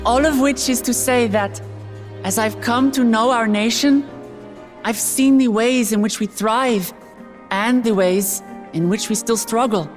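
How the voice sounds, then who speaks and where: Actress as an earnest young princess over music. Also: female voice, a little high, lightly acted. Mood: hopeful, resolute. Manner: earnest, measured, sincere. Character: earnest young princess, hopeful heir to the throne. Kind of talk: heartfelt public speech in a video game cutscene.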